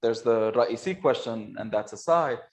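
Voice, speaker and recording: A man explains politics over a video call, circling back on himself with many fillers and restarts before landing each point. His pitch sits in the middle range for a man, and his delivery is thoughtful and halting. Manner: hesitant, many restarts and fillers, quick laughs. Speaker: guest expert on Iranian affairs. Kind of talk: remote interview, analytical.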